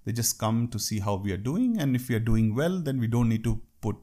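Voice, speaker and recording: A man's voice: mid-range voice, very animated, fairly quick, dark tone. A man from India with an Indian English accent, talking through workplace etiquette in a conversational way with wry asides. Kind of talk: talk on workplace culture.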